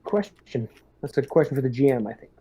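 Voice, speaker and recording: A man's voice: medium pitch, lively intonation, a little fast, warm tone, slightly rough. A man playing a tabletop role-playing game, talking through plans and questions with hesitant phrasing. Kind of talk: in-game planning talk. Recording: dull, muffled microphone.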